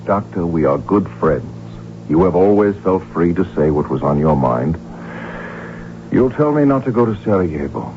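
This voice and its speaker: An actor playing a proud, resigned prince, firm yet affectionate, with a measured, authoritative way of talking in a 1970s radio drama. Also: male voice, sits low, expressive, slight background noise. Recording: dull old radio recording, music behind.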